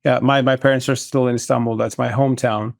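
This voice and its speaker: A middle-aged man from Turkey, a guest author, answering interview questions in a measured, thoughtful way. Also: medium pitch, level tone, creaky, dry recording, studio quality.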